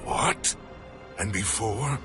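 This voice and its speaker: An actor playing a weary alien warrior elder in a video game, speaking gravely and with weight. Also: male voice, lowish voice, drawn-out words, over music. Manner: grave and brooding.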